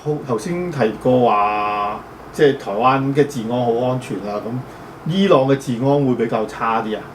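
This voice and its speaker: A man's voice: medium pitch, moderate pace. A male host with a Hong Kong Cantonese accent asks his guest questions in a loose, conversational way. He strings his questions together with fillers as he goes. The mic is a little distant and a faint hiss runs underneath. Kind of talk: casual interview questions.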